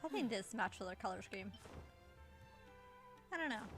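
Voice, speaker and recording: A woman's voice: mid-range voice, animated, normal speed, a bit bright, soft background music. A woman giving casual live commentary as she streams a video game.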